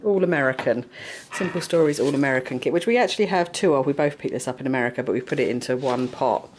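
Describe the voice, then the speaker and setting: A woman's voice: a bass voice, fast, darkish tone, slight background noise. An English woman hosting a casual craft vlog, chatty and upbeat, with lots of fillers. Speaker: vlogger, craft shop owner. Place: craft studio and shops.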